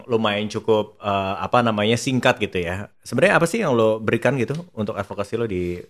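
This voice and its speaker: A man's voice: on the low side, fairly quick. A man with a Jakarta Indonesian accent hosting a casual interview, mixing English phrases into his questions and replies. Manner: frequent backchannels, mixes in English phrases. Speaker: podcast host.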